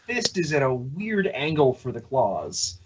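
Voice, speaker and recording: A man's voice: mid-range voice, very animated, measured pace, a crowd in the background. A man co-hosting a podcast, chatting casually and tossing in dry, quippy remarks. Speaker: podcast co-host. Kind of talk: casual group chat.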